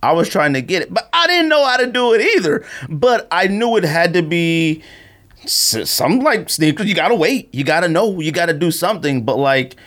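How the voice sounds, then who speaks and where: A man co-hosting a podcast in casual, slangy, animated chat, telling stories and often amused. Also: fairly high pitch, normal speed. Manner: animated storytelling, frequent laughter, slangy. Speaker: podcast co-host. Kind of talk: casual chat about sneakers.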